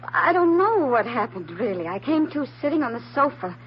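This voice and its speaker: A woman playing a frightened, naive and anxious woman in an old-time radio drama, speaking haltingly and earnestly. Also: high voice, big pitch swings. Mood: distressed. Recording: dull, slightly noisy old radio recording.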